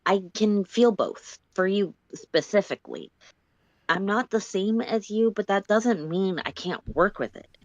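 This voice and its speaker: A woman voicing Solix, a blunt, thoughtful, stubbornly caring male character, speaking slowly and deliberately in a story reading. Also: mid-range voice, animated, no echo, voice pushed up. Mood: earnest, insistent. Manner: slow, deliberate delivery. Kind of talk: dramatic fan fiction reading. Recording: muffled voice-call audio.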